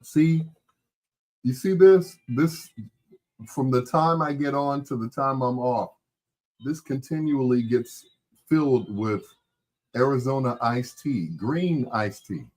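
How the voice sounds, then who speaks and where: A man with a dark, relaxed voice talks as the host of a casual stream. His delivery is slow and unhurried. He rambles in a laid-back, conversational way. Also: mid-range voice, poor audio. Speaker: host of a late-night music chat stream. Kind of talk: casual chat.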